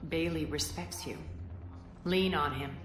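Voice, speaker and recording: A woman playing a cold, scheming crime boss in a video game, speaking with deliberate, commanding disdain. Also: low voice. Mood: commanding, persuasive. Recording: roomy sound with music behind.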